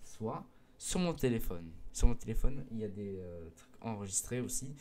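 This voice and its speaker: Hesitant teenage boy with a mellow voice. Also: fairly low pitch, expressive, moderate pace, slight background noise. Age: mid-teens. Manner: hesitant, many fillers and restarts; earnest, pleading with viewers. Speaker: gaming video creator. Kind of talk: casual explanation to viewers.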